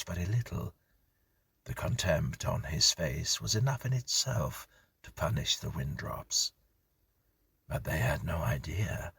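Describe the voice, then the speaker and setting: Male horror audiobook reader: extremely husky and breathy, dry intimate sound, measured and grave delivery. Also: rumbling low, pushed and bright, no room sound. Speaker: narrator, story reader. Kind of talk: scripted horror story narration.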